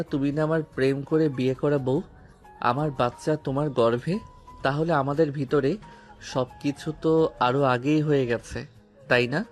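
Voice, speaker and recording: A man playing a commanding, possessive young policeman husband in an audio romance story, speaking deliberately and dropping in English phrases. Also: medium pitch, natural voice. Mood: teasing, smug.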